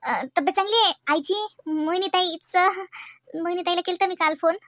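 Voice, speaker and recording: Woman voicing a squeaky-high, flustered young cartoon wife. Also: brisk, fairly bright tone, no room sound, studio quality. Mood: flustered, placating. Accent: Vidarbha Marathi. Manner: flustered, hesitant, muttering asides. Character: nervous, fretful young wife visiting her parents. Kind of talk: comedy cartoon dialogue.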